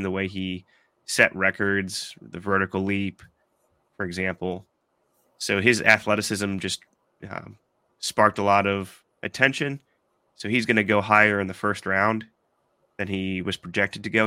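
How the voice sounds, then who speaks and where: Stuffy-nosed man, fairly low voice, casual sports pod host, rambling chat. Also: bright, forceful tone, clean tone, dry recording, studio quality. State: congested from allergies. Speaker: podcast host.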